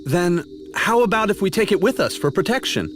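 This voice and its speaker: A man acting a brash, impatient young video game adventurer with a sarcastic, informal and excitable way of talking. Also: high voice, a little fast. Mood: curious. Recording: faint music behind the voice.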